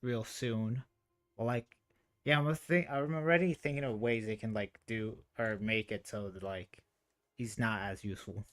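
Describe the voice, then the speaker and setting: A man's voice: fairly low pitch, steady tone, moderate pace, fairly bright tone. A man doing casual live game commentary, informal and muttering to himself as he thinks aloud. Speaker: game streamer.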